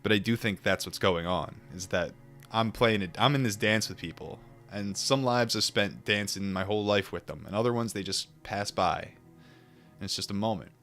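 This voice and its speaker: Guy with a lowish voice reading a book and chatting casually, kinda reflective, faint music under him. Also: a bit bright. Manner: musing, informal, with pauses and repetitions. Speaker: streamer reading a book aloud and chatting with viewers. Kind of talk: book read-aloud mixed with casual reflective chat.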